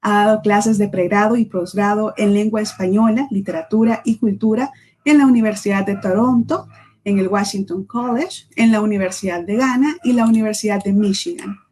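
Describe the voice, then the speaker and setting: A woman's voice: medium pitch, darkish tone, a little hiss or hum. Woman reading formal introductions. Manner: measured, formal, with small self-corrections. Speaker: event presenter.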